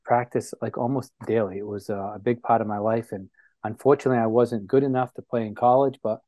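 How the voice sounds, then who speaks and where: Man from new bedford, interview guest, reflective, many pauses and fillers, dry close sound. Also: mid-range voice, steady tone, warm tone, dry recording. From New Bedford, Massachusetts, US. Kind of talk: recounting his life story in an interview.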